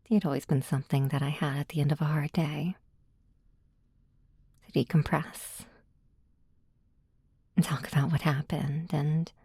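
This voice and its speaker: A woman reading scripted ASMR narration in a soft, breathy, intimate hush. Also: sits low, level tone, moderate pace, long pauses, dry recording. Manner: hushed, intimate. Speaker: audio drama writer and performer.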